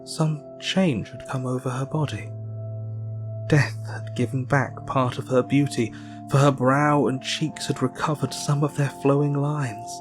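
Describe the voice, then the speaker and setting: An actor with an English accent playing an earnest, grieving Victorian doctor, narrating his diary in measured, formal speech for an audio drama. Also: medium pitch, steady tone, over music, natural voice. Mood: solemn, grieving.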